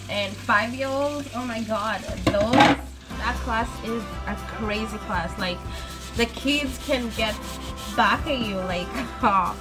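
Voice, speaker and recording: High-voiced accented woman, chatty kitchen vlog. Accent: foreign, non-native English. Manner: chatty storytelling, animated. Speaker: vlogger. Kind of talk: casual cooking vlog chat. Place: small home kitchen. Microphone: phone camera on the counter.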